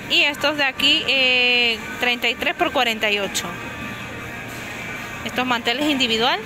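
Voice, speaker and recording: Woman, slightly high voice, casual shopping vlog, phone mic, music underneath. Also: low-quality recording. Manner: chatty, lists prices and sizes, self-corrects. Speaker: shopping vlogger. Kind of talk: casual store walkthrough narration. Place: inside a large discount supermarket. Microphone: handheld phone camera. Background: background music.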